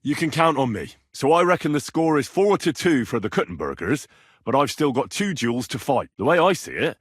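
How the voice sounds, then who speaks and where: A young medieval swordsman for a video game. His delivery is animated and colloquial, with wide swings in pitch and a slightly husky edge. He sounds plain-spoken and earnest, sometimes cheeky, in a close, dry recording. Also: brisk, no room sound. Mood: earnest, determined. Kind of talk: video game voice lines, naturalistic dramatic acting.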